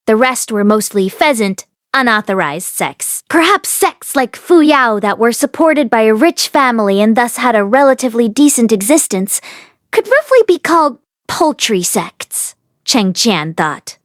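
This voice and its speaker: A woman's voice: on the high side, no room sound, studio quality. A woman reading a novel aloud as scripted audiobook narration. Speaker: audiobook reader.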